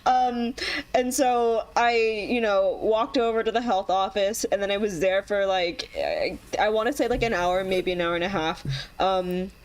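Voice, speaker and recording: A woman's voice: medium pitch, lively intonation, moderate pace, low-quality recording. A young woman telling a long story in casual chat with friends, rambling with lots of ums and laughing as she goes. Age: young adult. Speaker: podcast co-host.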